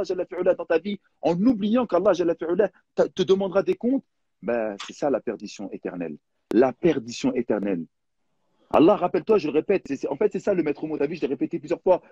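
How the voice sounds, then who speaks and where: Earnest young male preacher, husky voice, pleading tone, dry and dull recording. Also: a little high, mellow, no room sound. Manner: earnest, pleading, repeats key phrases; leads call-and-response prayers. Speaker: religious speaker. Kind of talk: emotional religious talk.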